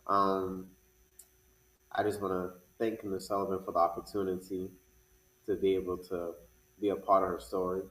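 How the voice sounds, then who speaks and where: A man's voice: low voice, level tone, moderate pace, pauses often, fairly bright tone, a little hiss or hum. A man, a stage actor, speaking casually as an interview guest. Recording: echoey room.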